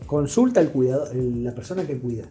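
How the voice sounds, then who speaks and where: Mellow Argentine man explaining, faint music behind. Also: medium pitch, animated, fairly quick. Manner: didactic, checks with short tag questions. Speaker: interview guest, psychologist. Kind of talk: explanatory conversational interview.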